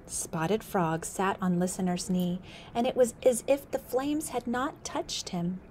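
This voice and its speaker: A woman in her thirties reads a picture book aloud, slow and expressive, sometimes dropping to a whisper, indoors on a close camera mic. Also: mid-range voice, slight background noise. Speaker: storyteller. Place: indoor room with a window.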